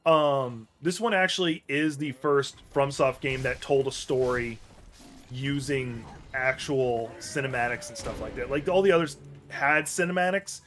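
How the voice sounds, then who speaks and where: A man's voice: medium pitch, a little slow, fairly bright tone, soft background music. A man with a mid-range voice talks through his gameplay in a loose, offhand way. He rambles and backtracks, fixing his words mid-thought as he plays. It sounds like an easygoing stream chat with his viewers. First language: English. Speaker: gaming streamer. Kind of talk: casual let's-play commentary while playing.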